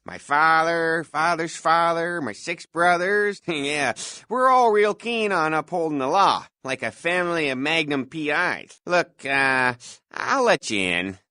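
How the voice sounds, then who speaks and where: A self-important, folksy night-shift security guard for a video game. His delivery swings up and down with officious bluster and hesitant filler. The voice is bright and forceful, recorded very dry and close. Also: on the high side, animated, a little slow, no room sound. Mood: condescending, chummy. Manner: pompous, officious, hesitant filler-laden patter. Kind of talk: scripted video game voice lines.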